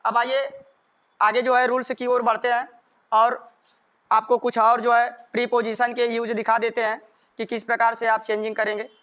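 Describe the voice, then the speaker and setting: A man's voice: very high-pitched, monotone, normal speed, fairly bright tone, clear, resonant tone. A man with a North Indian accent, a native Hindi speaker, teaching grammar in an emphatic, repetitive way and dictating examples word by word. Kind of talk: classroom lesson explanation with dictated examples.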